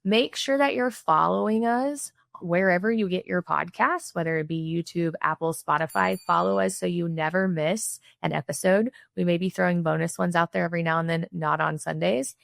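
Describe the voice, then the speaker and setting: A woman's voice: on the low side, normal speed, vocal fry, few pauses, a bit bright, dry recording, studio quality. A middle-aged woman from Missouri hosting a podcast, talking in a chatty way with lots of "like" and "right?". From Missouri, USA. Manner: chatty, frequent "like" and "right?" tags. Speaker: podcast host. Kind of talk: casual interview chat and closing sign-off.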